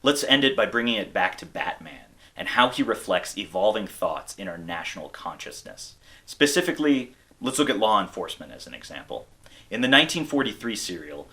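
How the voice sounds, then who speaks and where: A man's voice: low voice, pushed and bright. An American man hosting a video essay, reading scripted narration. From United States.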